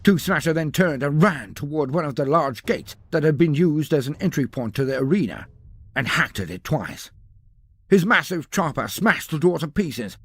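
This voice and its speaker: A man delivering dramatic scripted narration of a fantasy battle story, theatrical, with deliberate pauses and emphasis. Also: a little high, dry recording.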